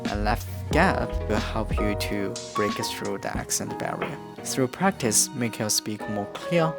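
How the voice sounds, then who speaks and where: A man whose first language is Korean, from near the China–North Korea border, giving earnest, plain English-learning tips to camera. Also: lowish voice. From China–North Korea border region. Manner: earnest, plain, non-native phrasing with restarts. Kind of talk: instructional talk to camera. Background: guitar music.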